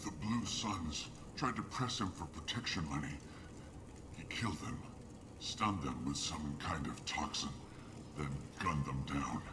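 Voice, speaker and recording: Slow, deep, dying alien man. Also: roomy sound, distant mic, noisy background. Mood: wary, grave. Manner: slow, formal, florid and accusing speech. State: sick, coughing, weak. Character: dying bitter plague-stricken male alien, suspicious of humans. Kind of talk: video game character acting.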